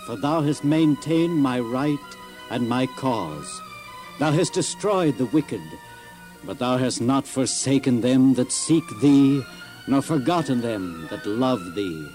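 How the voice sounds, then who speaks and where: An actor playing a gentle, patient, devout prophet and leader in an earnest, deliberate audio drama style. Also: male voice, medium pitch, animated, faint music behind. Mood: reverent praise.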